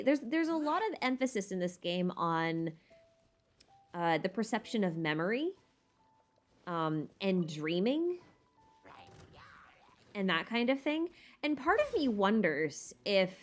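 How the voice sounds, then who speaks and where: A woman streamer chatting casually and thinking aloud in a tentative, rambling way as she answers questions. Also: medium pitch. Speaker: streamer hosting a lore Q&A. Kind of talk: casual rambling livestream chat about game lore.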